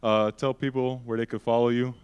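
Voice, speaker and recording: A young man hosting a casual late-night student talk show, asking questions in relaxed, slangy phrasing on a handheld stage microphone. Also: mid-range voice, fairly quick, clear, resonant tone, dull audio. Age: young adult. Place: indoor event stage.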